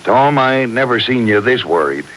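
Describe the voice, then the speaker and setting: An actor playing a puzzled, plain-spoken ranch sidekick with folksy phrasing in a 1940s radio Western. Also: male voice, mid-range voice, expressive, normal speed, fairly bright tone, a little hiss or hum, lightly acted, rough recording. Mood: puzzled, concerned.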